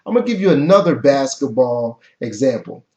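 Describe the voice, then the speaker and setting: A man giving a motivational talk over a webcam, energetic and preachy, with an occasional stutter. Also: mid-range voice, a little fast. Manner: energetic, preachy, repeats key phrases. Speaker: motivational coach. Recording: echoey, dull webcam audio.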